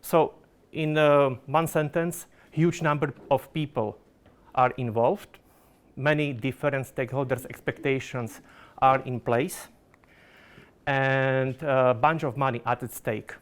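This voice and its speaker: A man's voice: mid-range voice, steady tone, slow pace. A Slovak man, a product manager, giving a conference presentation in careful non-native phrasing full of fillers and restarts.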